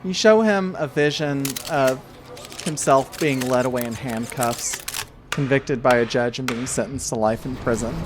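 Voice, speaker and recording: A man runs a tabletop role-playing game as game master, with music playing under the voice. The pitch sits in an ordinary middle range. The narration is improvised and comes out in halting phrases, worked out while speaking. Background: background music.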